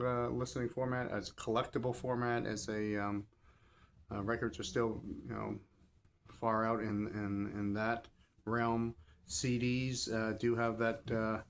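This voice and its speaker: A man giving a casual, off-the-cuff review as a shop host, rambling and pausing mid-thought to rephrase. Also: fairly low pitch. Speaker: record shop seller and host. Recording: clear background noise and ambience.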